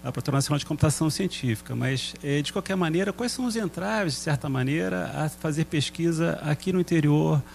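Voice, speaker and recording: A man's voice: a little high, fast, creaky, few pauses, a little mellow, slight background noise. A man hosting a TV interview, asking short probing questions. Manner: asks short probing questions, brief backchannels. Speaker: TV interviewer host.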